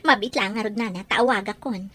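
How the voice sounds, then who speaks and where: A woman's voice: mid-range voice, fairly quick, pushed and bright. A woman playing a pushy, plotting young schemer in an audio drama, talking insistently.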